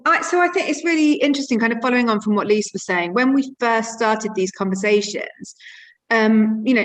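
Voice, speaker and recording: A woman's voice: mid-range voice, rough recording. A woman giving an informal, reflective explanation as a theatre education practitioner.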